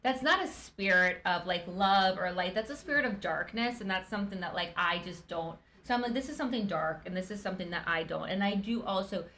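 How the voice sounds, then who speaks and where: A woman's voice: mid-range voice, brisk, hardly any pauses. Upbeat woman podcast host. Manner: upbeat, animated, laughs often. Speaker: podcast host, business owner. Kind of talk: casual podcast conversation.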